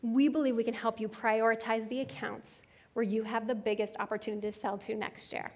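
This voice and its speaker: A woman's voice: on the high side, little pitch movement, brisk, slight background noise. A woman on a conference stage giving a confident, promotional product announcement. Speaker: product presenter.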